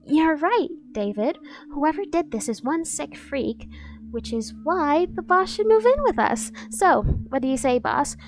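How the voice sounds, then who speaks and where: A woman's voice: high-pitched, expressive, measured pace. A woman playing a devoted, obsessive female bodyguard in an ASMR roleplay, persuasive and insistent while feigning professionalism. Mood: eager, coaxing.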